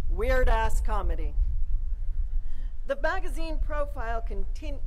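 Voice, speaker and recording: A woman presenting an honorary degree, reading a formal citation in a measured way at an outdoor ceremony. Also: on the high side, slight background noise, poor audio.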